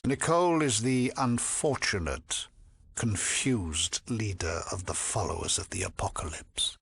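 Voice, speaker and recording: An actor playing a sanctimonious, menacing cult high priest in a video game, preachy, grandiose and condescending. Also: male voice, fairly bright tone, breathy edge, full character voice. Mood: mock pity. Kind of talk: theatrical video game voice acting.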